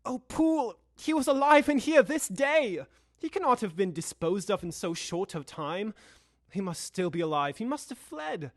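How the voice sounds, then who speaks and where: Young man acting an anxious Victorian gentleman lawyer. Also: sits high, big pitch swings, normal speed, no echo, studio quality. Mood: agitated. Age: young adult. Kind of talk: audio drama acting.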